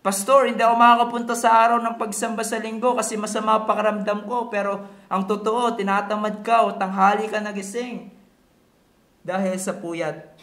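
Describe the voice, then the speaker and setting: Filipino guy preaching, pretty high voice, slow n teachy, repeats stuff for emphasis, echoey room. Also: level tone, fairly bright tone. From Philippines. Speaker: pastor. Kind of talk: sermon mixing Tagalog and English.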